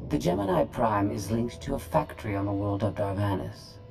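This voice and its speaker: A woman's voice: very deep voice, animated, a little fast, gravelly, over music, heavily acted. A woman voicing a proud, disdainful droid intelligence in a game, formal, clipped and detached. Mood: cold, haughty. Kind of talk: scripted game cutscene dialogue.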